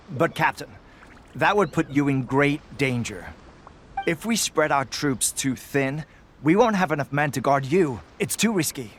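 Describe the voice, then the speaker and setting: A man's voice: medium pitch, fairly bright tone, close to an everyday voice. A man playing a sober veteran acting captain in a video game, formal, blunt and military in manner. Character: sober, straight-talking male veteran soldier, acting captain. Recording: faint ambient noise behind the voice.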